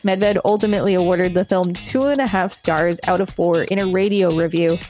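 A woman's voice: fairly low pitch, brisk, darkish tone, soft background music. A woman co-hosting a podcast, reading an article aloud and chatting casually about it. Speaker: podcast co-host.

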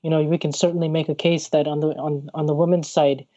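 A man co-hosting a casual podcast, speaking in a measured way with many fillers. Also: on the high side, level tone, mellow, muffled recording. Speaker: podcast co-host.

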